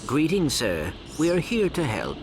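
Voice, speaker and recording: An actor voicing a small, polite robot in a video game, earnest and calm, with formal, precise phrasing. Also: male voice, fairly high pitch, measured pace. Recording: loud background noise.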